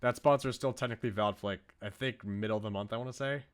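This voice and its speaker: A man's voice: fairly low pitch, very fast, clear, resonant tone. A man chatting casually as he streams a game. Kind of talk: casual livestream chat while playing a visual novel. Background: game music.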